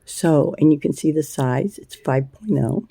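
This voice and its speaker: A woman with a scratchy voice hosting a casual crochet tutorial, chatty and unhurried, with plenty of ums and uhs. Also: low-pitched, vocal fry, warm tone, husky, no echo. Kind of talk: casual hands-on craft tutorial.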